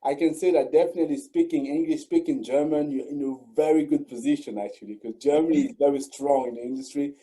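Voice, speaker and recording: A man's voice: fairly high pitch, quick pace, few pauses, warm tone, poor audio. A man hosting an online interview, speaking formally and repeating his phrases for emphasis. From Cameroon. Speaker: host and channel founder.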